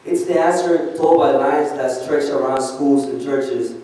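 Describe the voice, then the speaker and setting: A man's voice: mid-range voice, a little slow, warm tone. A young man reading a political speech aloud to a congregation. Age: young adult. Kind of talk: read-aloud political speech at prayer service. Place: large church, indoors. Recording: echoey church hall from far off.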